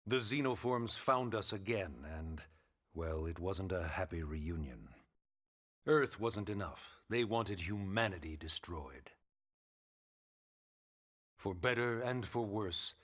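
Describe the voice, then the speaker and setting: A fairly low male voice for a senior government official in a video game. He is formal, courteous and deliberate, with calm authority. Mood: grave. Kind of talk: English video game cutscene acting.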